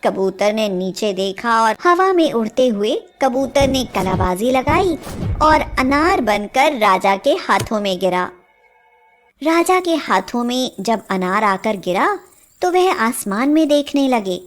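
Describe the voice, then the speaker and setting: A woman narrates a children's tale in a fairly high voice. She takes her time over every sentence, with clear music playing behind her. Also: sing-song, slow pace. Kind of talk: scripted children's story narration.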